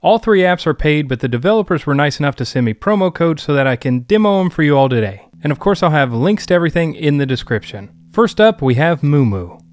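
A man's voice: a little high, big pitch swings, fast, few pauses, no room sound. A man narrating a casual review as the host, in an informal, conversational way. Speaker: host of a synth app review show.

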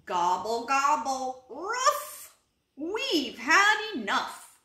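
A woman voicing excitable storybook farm animals, with exaggerated sing-song calls and short rhymes. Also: high voice, very slow, exaggerated voice. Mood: excited, hurried. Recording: roomy echo.

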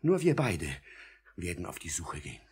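A man's voice: low-pitched, huge pitch swings, moderate pace, loud music behind the voice. A man playing a cold-hearted, scheming villain in a 1950s radio drama, imperious and conspiratorial. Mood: angry, demanding.

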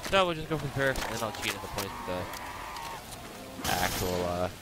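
A man's voice: lowish voice, expressive, fairly quick, heavy background noise. A man with a stuffy nose giving casual, rambling commentary while playing a game, swearing at it now and then. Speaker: gaming channel host.

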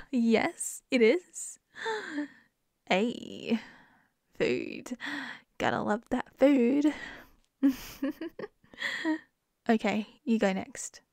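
A woman's voice: on the high side, animated, drawn-out words, vocal fry, long pauses, a little mellow, no echo. A woman with an Australian accent in a close-mic ASMR roleplay as a wife, playful and giggly.

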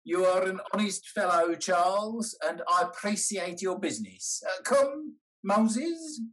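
Man playing halting, courteous old moneylender. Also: high voice, fairly bright tone, a little hiss or hum, low-quality recording. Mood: resigned, warm. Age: older. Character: older gentleman posing as a polite, hesitant moneylender. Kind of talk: period comedy stage acting over video call. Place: dim room indoors, video call.

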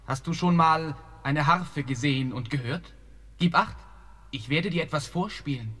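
A man's voice: fairly high pitch, normal speed, pushed and bright. A man playing an earnest young prince. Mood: resolute. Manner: earnest, polite and resolute. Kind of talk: German fairy-tale radio play.

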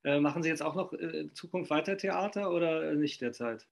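A man's voice: a little high, fairly quick, vocal fry. A middle-aged man interviewing a guest over a video call from home. He rambles through long questions full of fillers and restarts. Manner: rambling, hesitant, frequent fillers. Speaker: interviewer, magazine host. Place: home room, indoors. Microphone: video call webcam.

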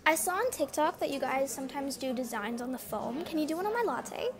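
A woman's voice: sits high, animated, fairly quick. A woman playing a bubbly, self-absorbed young customer who gushes, in an amateur radio play. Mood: gushing. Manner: gushing, squealing.

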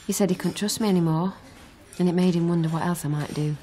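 An actress playing a guarded, defiant young con artist in a naturalistic radio drama. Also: female voice, fairly low pitch, fairly even intonation, quick pace, mellow, soft background music, close to an everyday voice. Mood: confessional. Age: young adult.

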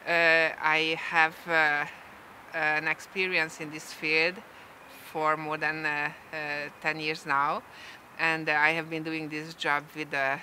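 An older woman who works in film promotion, answering interview questions in a measured way with many fillers, on a rooftop. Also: deep voice, level tone, pushed and bright, slight background noise. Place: outdoor rooftop in a city.

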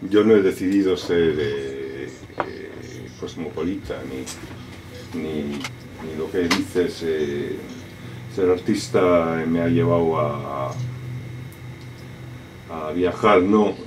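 A middle-aged man whose first language is French, answering interview questions in a halting way, with many restarts and fillers. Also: low-pitched, over music. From raised in France. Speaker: artist. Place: cluttered studio with computer and speakers. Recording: distant mic, audible room noise.

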